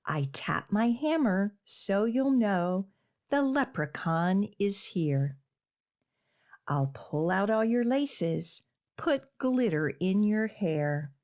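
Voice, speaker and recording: Lady reading as a cheeky bragging leprechaun in a rhyming kids book, slow and animated. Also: medium pitch. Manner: teasing, rhyming delivery. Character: boastful, mischievous little leprechaun trickster.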